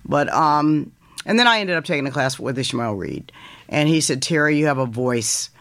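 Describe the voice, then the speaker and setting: A woman in her fifties with a deep voice, a guest author telling stories from her student days in an animated way. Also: moderate pace, no room sound. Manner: animated, quotes others in lively voices, laughs while telling. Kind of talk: casual storytelling interview.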